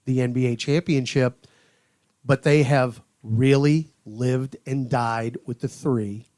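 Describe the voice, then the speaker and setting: A man who calls games on radio, chatting on a sports podcast with measured, drawn-out emphasis. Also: medium pitch, steady tone, a little mellow, dry recording. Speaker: radio play-by-play announcer. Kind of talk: casual sports podcast chat.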